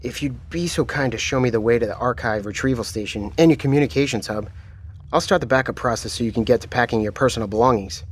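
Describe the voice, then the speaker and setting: Composed military commander, played by a man, measured, mid pitch. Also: husky, close to an everyday voice. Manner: calm, measured, matter-of-fact. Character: calm, commanding male military chief. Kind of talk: audio drama acting.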